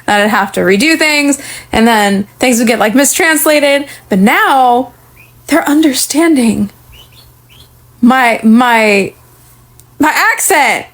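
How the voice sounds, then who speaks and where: A woman's voice: high voice, expressive, slow, pauses often. A woman with an accent, speaking in a bubbly way with 'like' fillers. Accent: non-standard English accent.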